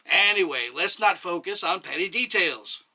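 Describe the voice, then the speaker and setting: Man acting a sarcastic, forceful elderly doctor. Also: high-pitched, lively intonation, voice pushed up, full character voice. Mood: insistent. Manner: mocking, emphatic, drawn-out argument. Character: sarcastic elderly male doctor, needling and argumentative.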